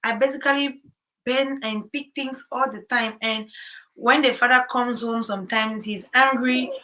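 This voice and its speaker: A woman's voice: a little high, fairly even intonation, normal speed, pushed and bright, a little hiss or hum, rough recording. A woman talking casually about life as a new mother, chatty with many fillers and repeated words. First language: English. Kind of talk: casual chat. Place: home in Korea.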